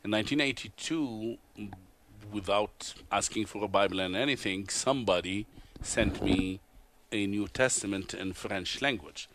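A man from Tunisia with an Arabic accent, speaking low and earnestly as an interview guest. He halts and restarts often as he tells his story. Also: a bit bright, a little hiss or hum. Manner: earnest, halting, many uhs and restarts. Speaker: guest, TV host and author. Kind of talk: interview testimony. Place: convention broadcast booth.

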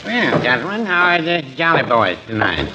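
An actor playing a mild, henpecked older married man in 1940s radio comedy, hesitant and drolly deadpan. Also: fairly high pitch, moderate pace. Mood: dry, hesitant. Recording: noisy, muffled 1940s radio recording.

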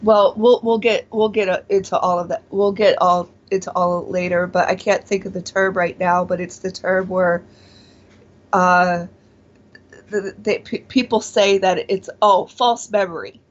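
Forties woman guest, slow and halting, lots of restarts, muffled lo-fi sound. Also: on the low side, steady tone. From born in Taiwan, raised in Pennsylvania. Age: 40s. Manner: hesitant, many fillers and restarts. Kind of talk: casual interview, personal testimony. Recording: dull lo-fi audio.

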